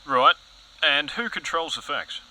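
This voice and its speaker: An actor playing an overzealous, gullible TV news anchor in a satirical audio comedy, dramatic and insinuating. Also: male voice, fairly high pitch, expressive, unhurried, sharp tone, a little hiss or hum, heavily acted, rough recording. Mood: conspiratorial. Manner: dramatic, insinuating, earnestly suspicious.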